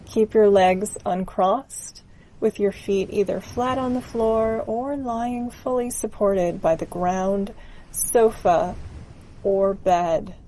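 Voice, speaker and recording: A woman narrating a scripted guided meditation in a gentle, soothing, unhurried manner. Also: medium pitch, a little hiss or hum, rough recording.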